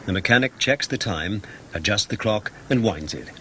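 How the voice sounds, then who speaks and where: A man's voice: on the low side, animated, moderate pace, fairly bright tone, a little hiss or hum. A man reading scripted documentary narration in a formal, precise, factual manner.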